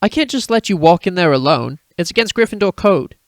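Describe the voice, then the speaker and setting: A man's voice: high-pitched, lively intonation, fairly quick, no echo, studio quality. A man acting a bold, cocky teenage schoolboy in a fan fiction audio drama, speaking in an earnest, boastful way. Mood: challenging.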